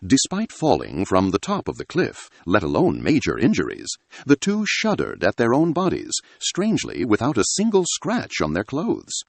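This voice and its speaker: A man's voice: medium pitch, wildly swooping pitch, moderate pace, creaky, slightly rough, no room sound. A man narrating a scripted adventure story in a dramatic style.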